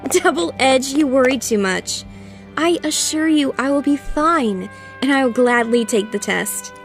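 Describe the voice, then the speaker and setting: Reassuring, poised pony princess female actor, high-pitched, gracious, soft music bed underneath. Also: animated, a little slow. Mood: calmly reassuring. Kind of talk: fan audio drama acting.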